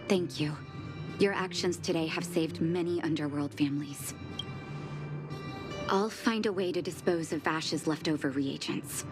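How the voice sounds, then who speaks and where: Resolute female doctor, low-pitched and measured, score behind, played by an actress. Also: natural voice. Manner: firm, measured, quietly determined. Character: stern dedicated female doctor, resolute and composed. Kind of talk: game cutscene voice acting. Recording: game score music behind.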